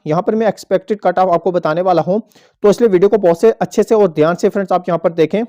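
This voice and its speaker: A man's voice: sits high, mellow. A man presenting an informational explainer to viewers, going step by step and repeating points as he goes. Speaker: host of an exam update channel.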